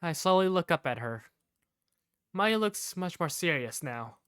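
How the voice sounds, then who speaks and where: Woman as expressive low-voiced young male student. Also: measured pace, clean tone, no echo, clean studio sound. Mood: reflective. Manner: expressive first-person storytelling. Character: earnest young male student narrating his everyday life. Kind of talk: visual novel narration and dialogue. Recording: background music under the voice.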